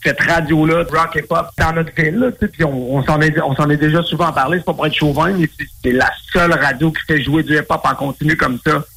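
A man's voice: on the high side, steady tone, fairly quick, few pauses, bright, forceful tone, poor audio. A man with a Quebec French accent announcing a radio station promo.

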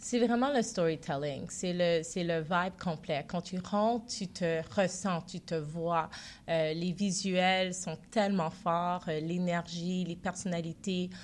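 A woman's voice: fairly low pitch, a little slow. A woman from Montreal whose first language is not French, speaking as a founder guest in a radio interview, confident with occasional hesitations.

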